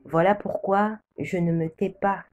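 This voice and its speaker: Slow, mellow-voiced woman from Congo. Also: mid-range voice, steady tone, muffled recording. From Democratic Republic of the Congo. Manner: solemn, repetitive declarations. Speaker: preacher sharing a prophecy. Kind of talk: religious prophetic testimony.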